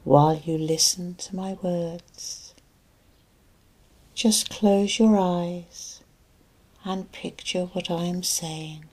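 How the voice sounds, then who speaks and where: Slow, low, breathy woman guiding sleep hypnosis. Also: a little mellow. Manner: slow, soothing, deliberate delivery with long pauses. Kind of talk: guided relaxation and sleep hypnosis narration.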